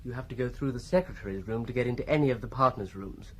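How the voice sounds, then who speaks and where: An actor playing a wry, faintly supercilious British solicitor in a radio drama, speaking with measured, arch irony. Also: male voice, mid-range voice, a bit bright, natural voice. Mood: wry, explanatory. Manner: arch, drily ironic, measured.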